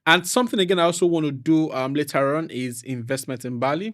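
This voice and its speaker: A man from Nigeria with a Nigerian accent, a real estate professional chatting fast and laughing often as a podcast guest. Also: fairly high pitch. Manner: fast-talking, laughs often, animated storytelling. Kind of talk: casual podcast chat.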